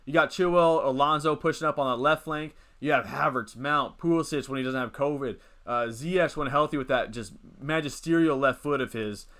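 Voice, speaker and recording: A man's voice: medium pitch, moderate pace, hardly any pauses, fairly bright tone. A young man in his twenties hosting a casual live stream, rambling as he reads and answers the chat. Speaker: livestream host.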